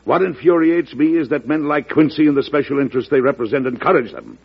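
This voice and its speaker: Resolute president in muffled old radio drama. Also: male voice, a little high, lively intonation, fast talker, creaky, slightly rough, full character voice. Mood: indignant, philosophical. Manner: declamatory, formal, emphatic. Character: resolute, dignified male president late in his second term. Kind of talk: old-time radio drama acting and narration. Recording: dull vintage radio recording.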